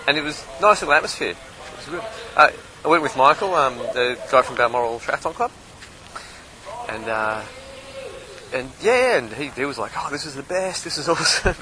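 A man's voice: fairly high pitch, expressive, a little fast, background noise. A man casually recapping his race, as a podcast host and amateur triathlete.

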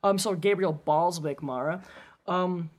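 A man's voice: high voice, measured pace. A man co-hosting a film podcast in a casual, chatty style. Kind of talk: casual chat.

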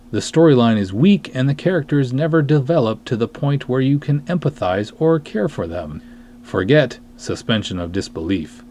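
A man's voice: on the low side, a little mellow, a little hiss or hum. A man hosting a podcast monologue, wry, self-deprecating and emphatic as he chats and reads aloud. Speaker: podcast host, writer and director. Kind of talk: podcast monologue with dramatic review readings.

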